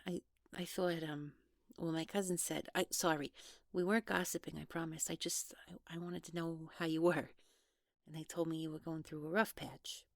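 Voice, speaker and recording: A woman's voice: low voice, level tone, creaky, no echo. Woman acting guarded, hesitant young woman, dry close sound. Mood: sympathetic, awkward. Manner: hesitant, halting, trailing off, nervous laughs. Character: guarded young woman, defensive, awkward, newly in love. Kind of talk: naturalistic one-sided audio roleplay acting.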